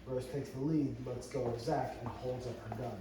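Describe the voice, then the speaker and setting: A man's voice: mid-range voice, fairly even intonation, normal speed. A man reading stage directions aloud at a script table read, as narrator. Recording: very echoey room, distant mic, noisy.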